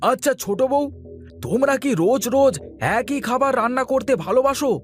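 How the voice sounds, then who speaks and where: A man acts out a grumbling, hearty middle-aged village trader in a cartoon. His voice sits high and moves animatedly through drawn-out interjections and chatty complaints. Faint music plays behind him. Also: lively intonation. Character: grumbling but hearty middle-aged village vegetable wholesaler, elder brother. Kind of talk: cartoon dialogue acting.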